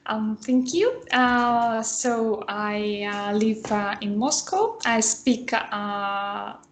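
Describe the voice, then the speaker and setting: A woman's voice: on the high side, drawn-out words, reverberant, a little hiss or hum. A woman whose first language is Spanish, a student in an online lesson, talking hesitantly with many fillers. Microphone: video call.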